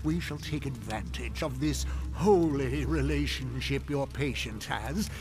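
An actor playing a commanding, scornful senior demon in a radio drama, instructing with imperious, deliberate delivery. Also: male voice, mid-range voice, lightly acted. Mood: scheming. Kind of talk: theatrical radio drama acting. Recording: music under the voice.

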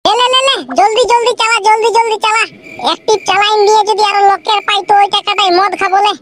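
An actor voicing a bossy, scolding young village labourer in a comedy cartoon, loud and crude, with a pitched-up effect. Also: level tone, quick pace, faint music behind. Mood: excited, pushy. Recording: noisy recording.

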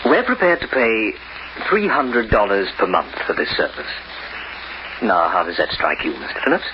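An actor playing a formal, polite, scheming bank cashier in an old-time radio crime drama. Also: male voice, lowish voice, lively intonation, moderate pace, natural voice. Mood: polite, businesslike. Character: smooth-talking, scheming male bank cashier. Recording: muffled, noisy old radio recording.

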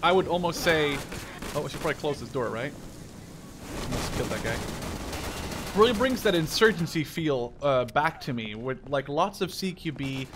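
A man in his twenties giving casual gameplay commentary, excitable and rambling, with frequent swearing. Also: on the high side, normal speed. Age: 20s. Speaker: video game streamer. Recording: gunfire and game noise behind voice. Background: video game gunfire.

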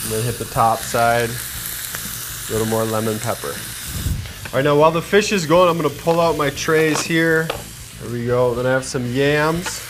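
A man in his thirties hosting a cooking demonstration in a studio kitchen, chatty and energetic, talking straight to the viewers. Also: medium pitch, moderate pace, noisy background. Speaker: cooking show host. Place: home-style studio kitchen, indoors. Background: background music, sizzling pan.

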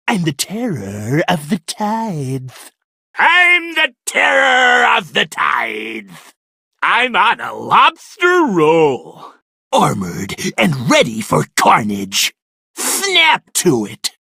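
Video game lobster hero: manic crusader, theatrical delivery, wildly swooping pitch, gritty rasp. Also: male voice, huge pitch swings, extremely slow, bright, forceful tone, no room sound, studio quality, heavily acted. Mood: bombastic battle-ready. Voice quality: raspy, gravelly. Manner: theatrical, bellowed battle cries. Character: zany male lobster warrior, bombastic self-styled crustacean crusader. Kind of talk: video game character voice lines.

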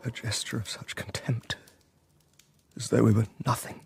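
An actor playing a shaken, self-important English husband and writer in a radio drama, speaking in urgent, stammering, broken phrases with an English accent. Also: male voice, mid-range voice, level tone, normal speed, gravelly, slightly rough, in a whisper. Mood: brooding, bitter.

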